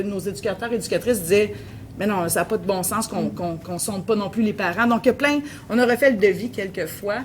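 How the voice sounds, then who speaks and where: A woman with a Québécois French accent presents her research at a conference, pausing often with fillers and restarting her sentences. Her voice sits at a middle pitch, with a faint low rumble in the background. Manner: hesitant, many fillers and restarts. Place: conference room.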